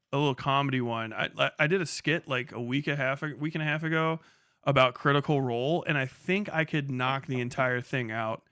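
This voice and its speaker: A young man talks loosely through a long livestream, reading chat, cursing and chuckling between thoughts. His voice sits at an ordinary middle pitch. The sound is close and dry, with no room around it. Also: pushed and bright, clear, resonant tone, no room sound. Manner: rambling, profane, laughs often.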